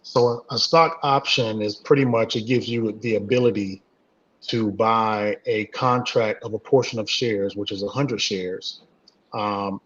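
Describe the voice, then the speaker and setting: Husky low-voiced man, flat and hesitant. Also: highs cut off. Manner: hesitant, many uh and um fillers. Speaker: guest, trading community co-founder. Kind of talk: casual interview answers.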